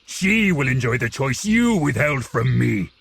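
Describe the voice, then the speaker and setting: An actor playing an anguished, vengeful, eloquent monster in an audio drama, speaking in solemn, grandiose oratory. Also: male voice, medium pitch, big pitch swings, a little slow, vocal fry, fairly bright tone, slight background noise, exaggerated voice. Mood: bitter, hopeful. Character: anguished, vengeful, eloquent male monster pleading with his maker.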